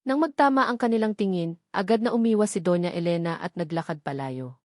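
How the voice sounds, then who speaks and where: A woman's voice: lowish voice, fairly quick, a little mellow, no room sound. Woman narrating in a dry, close recording. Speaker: story narrator. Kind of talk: scripted dramatic narration of a romance story.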